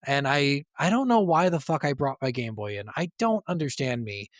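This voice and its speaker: An American man, likely in his thirties, co-hosting a casual podcast and telling stories in an animated, sweary way. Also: mid-range voice, moderate pace, no echo, studio quality. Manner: animated storytelling with frequent swearing. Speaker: podcast co-host. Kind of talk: casual chat.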